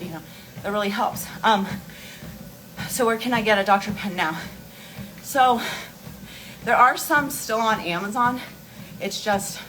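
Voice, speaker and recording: A woman's voice: medium pitch, background noise. A woman hosting a casual livestream, answering viewer questions in a rambling, informal, explanatory way while walking on an inclined treadmill. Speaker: beauty and wellness livestream host.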